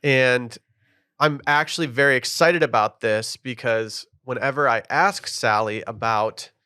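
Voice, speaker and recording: Casual male podcast host, bright voice, dry close mic, rambly delivery. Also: mid-range voice, unhurried, clean tone, no room sound. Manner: loose, rambling, lots of fillers. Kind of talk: casual podcast chat with ad reads.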